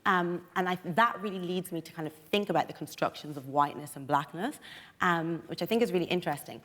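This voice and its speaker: A woman with a softened Irish accent speaking analytically and articulately as a panellist in a live discussion in a theatre. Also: fairly low pitch, quick pace. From Dublin, Ireland. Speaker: debate panellist. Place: on stage in a theatre. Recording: echoey theatre hall.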